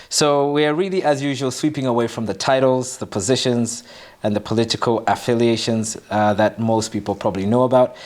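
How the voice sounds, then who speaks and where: A man from Zambia hosting an interview show, speaking in a measured way, with soft acknowledgements and frequent fillers. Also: fairly low pitch. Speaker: talk show host. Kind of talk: interview, conversational.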